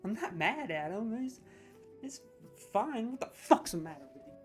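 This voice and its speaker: A man hosting a comedic gaming video, talking in an excitable, rambling, sarcastic way and swearing freely. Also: high-pitched, moderate pace, faint music behind.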